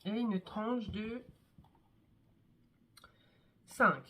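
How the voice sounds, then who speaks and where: A woman with a cold showing her scrapbook albums in a casual, chatty way, with many fillers and pauses. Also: mid-range voice, fairly bright tone. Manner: chatty, hesitant, many fillers and "hop, voilà". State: has a cold, congested. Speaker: scrapbooking vlogger. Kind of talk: casual show-and-tell craft video.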